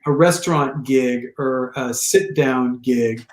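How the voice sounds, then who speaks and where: A man co-hosting a podcast, who says he has a big voice all the time and talks loudly. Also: medium pitch, slow pace. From Italian heritage. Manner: loud, big-voiced talker. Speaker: podcast co-host. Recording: roomy echo.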